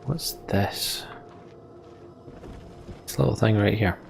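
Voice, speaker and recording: A man's voice: lowish voice, slow, vocal fry, faint music behind. A man giving casual gameplay commentary, muttering to himself and sometimes reading game text aloud. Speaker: let's player.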